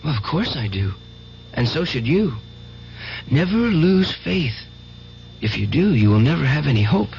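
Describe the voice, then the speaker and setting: An actor playing an earnest, needy, devout nephew in an old radio drama. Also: male voice, fairly high pitch, very animated, normal speed, gravelly, long pauses, darkish tone. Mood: fervent. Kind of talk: 1970s radio drama acting. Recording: muffled, hissy old radio recording.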